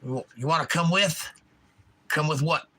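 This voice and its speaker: Crisp-spoken bright man with a Georgia drawl. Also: on the high side, moderate pace. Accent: Georgia, US South. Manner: enunciates crisply, animated, opinionated. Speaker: livestream host. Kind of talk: casual chat reading viewer comments.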